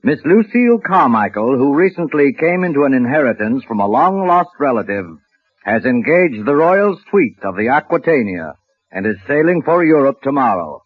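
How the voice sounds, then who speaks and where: A man narrating and announcing a 1940s radio thriller in a theatrical, deliberate manner, on a tinny vintage recording. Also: medium pitch, big pitch swings, breathy edge, dry recording.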